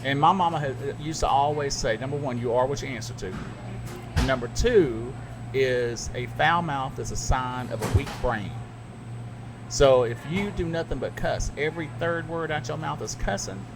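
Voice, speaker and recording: A middle-aged man with a Southern US accent, a radio broadcaster chatting casually on a podcast, animated and quick to jump up in pitch when he makes a point. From American South. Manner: animated storyteller, jumps up in pitch when emphatic.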